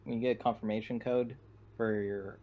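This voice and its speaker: A man's voice: on the low side, flat delivery, brisk, phone-quality sound. A man playing a tabletop roleplaying game, chatting casually and searching for his words.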